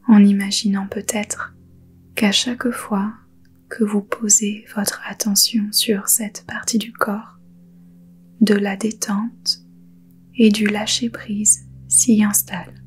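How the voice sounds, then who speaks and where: A woman guiding a yoga nidra meditation in a soft, breathy half-whisper, calm and soothing, with unhurried pauses. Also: medium pitch. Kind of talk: guided meditation.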